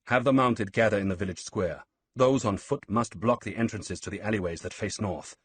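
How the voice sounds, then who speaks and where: A man voicing a stern Roman commander who gives urgent orders in a low, husky voice. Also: moderate pace, no room sound. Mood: firm.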